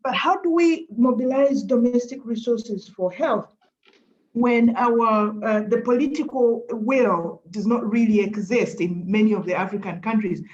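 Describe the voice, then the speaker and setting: A woman's voice: on the high side, measured pace, darkish tone. Woman asking the panel stuff, earnest, muffled call audio. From Uganda. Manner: earnest, searching questions. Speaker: audience member asking a question. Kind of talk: webinar question. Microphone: video call.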